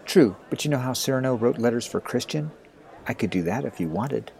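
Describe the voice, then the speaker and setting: A man's voice: mid-range voice, animated, brisk, gravelly, darkish tone. A man playing a wry, awkward ninth-grade boy with a grown-up mind, hesitant and thoughtful, in an audio drama. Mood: helpful, wry.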